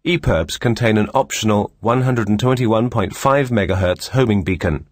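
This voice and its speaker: A man reading scripted instructional narration as a course instructor, measured, formal and clearly enunciated. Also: lowish voice, no echo, clean studio sound.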